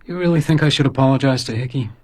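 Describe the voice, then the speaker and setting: An actor playing a weary, stoic hotel detective in a radio crime drama, terse and downbeat. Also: male voice, medium pitch, racing, a little mellow, no echo, clean studio sound, natural voice. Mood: weary, defeated. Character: weary, guilt-ridden male hotel house detective, gruff and stoic.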